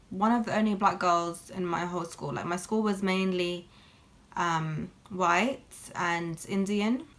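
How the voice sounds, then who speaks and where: A woman's voice: on the low side, fairly bright tone. A young woman, chatting candidly while doing her makeup for a beauty vlog. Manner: chatty and candid, lots of fillers. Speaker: beauty vlogger. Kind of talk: casual get-ready-with-me chat.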